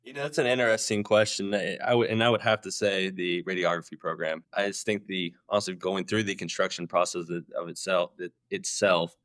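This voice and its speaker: A man's voice: low-pitched, steady tone, a little fast, hardly any pauses, fairly bright tone, no room sound. A man who is a campus president, talking casually in an interview, hesitant with many restarts.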